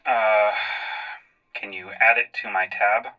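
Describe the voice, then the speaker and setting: A man's voice: on the low side, steady tone, slow, dry recording, muffled recording. A man playing in a tabletop game, improvising in character with precise, formal wording broken up by swearing. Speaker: tabletop podcast player.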